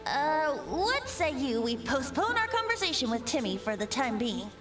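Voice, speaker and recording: A woman's voice: high voice, big pitch swings, moderate pace, loud music behind the voice. A woman voicing a playful, teasing young male bard in an anime game, boyish and theatrical. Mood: uneasy.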